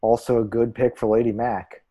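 Casting: actor as measured theatre pundit, male, dark relaxed voice, analytical, easy scripted banter. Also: mid-range voice, natural voice. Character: measured, analytical co-host giving casting odds. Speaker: co-host of a theatre prediction show. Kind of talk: scripted podcast banter and commentary.

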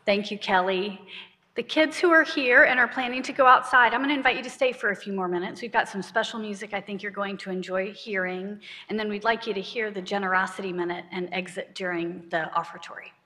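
A woman's voice: mid-range voice, fairly quick, bright, forceful tone. A woman minister making friendly service announcements to the congregation. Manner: friendly, inviting. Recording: hall reverb.

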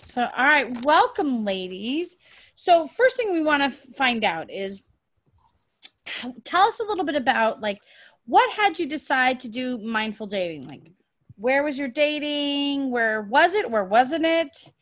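A woman who is a dating coach, hosting a casual podcast chat in a teasing, animated way. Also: high-pitched, a little slow, no room sound. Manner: teasing, animated, laughs often.

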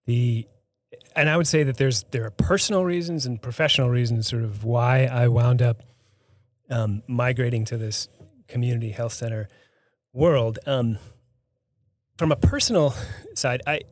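Thoughtful middle-aged doctor, hesitant, dry sound. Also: male voice, mid-range voice, dry recording. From Boston area, United States. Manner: thoughtful, many pauses and restarts. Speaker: health center CEO and physician. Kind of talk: reflective interview answers. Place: studio.

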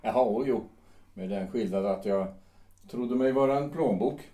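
An actor playing a reserved middle-aged friend who answers in quiet, measured tones. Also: male voice, medium pitch, natural voice. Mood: dry. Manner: quiet, measured, dry replies. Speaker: actor in an audio drama. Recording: echoey room.

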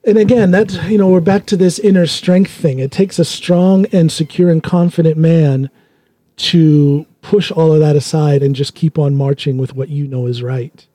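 An American man hosting a podcast, chatting in animated quick bursts and laughing easily. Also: on the high side, no room sound. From United States. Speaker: podcast host. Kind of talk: casual interview chat.

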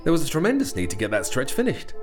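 An actor playing a weary, defensive middle-aged railway director in an audio drama, brusque and insistent. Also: male voice, mid-range voice, huge pitch swings, quick pace, soft background music. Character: weary, defensive railway director, husband under wartime pressure.

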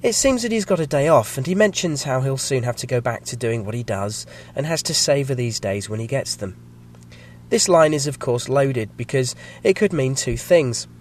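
A man with a slight cold and a croaky voice, hosting a podcast and reading a measured, scripted episode review. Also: mid-range voice, expressive, slight background noise. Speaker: podcast host.

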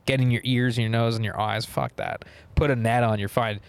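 A man co-hosting a casual podcast with friends, excitable, rambling and swearing freely. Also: medium pitch, a little fast, vocal fry, clear, resonant tone. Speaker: podcast co-host.

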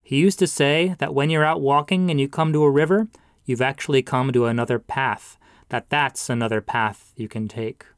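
A man voicing a pompous male pastor who talks in a sermonizing, drawn-out way. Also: medium pitch, fairly even intonation, clear, resonant tone, no room sound, clean studio sound, lightly acted. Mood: preaching.

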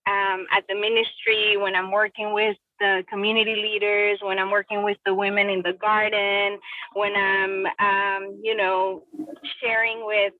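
A woman's voice: medium pitch, little pitch movement, a little slow, dry recording. Woman on a tinny phone line. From Colombia. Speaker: podcast guest, ministry director. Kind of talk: conversational interview answers. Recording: tinny telephone-like line, distant.

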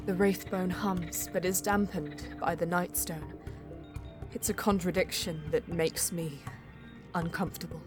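A woman playing a solemn Eldar bonesinger who narrates her quest in a measured, poetic way in an audio drama. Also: on the low side, lightly acted. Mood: reflective. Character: female Eldar bonesinger, solemn, mystical, easily frustrated seeker. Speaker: narrator and lead character. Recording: music under the voice. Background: background music.

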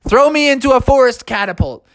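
A woman's voice: high-pitched, lively intonation, brisk, no echo, voice pushed up. A woman voicing a grumbly but game young male bear in a children's story, easily exasperated. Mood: reluctant.

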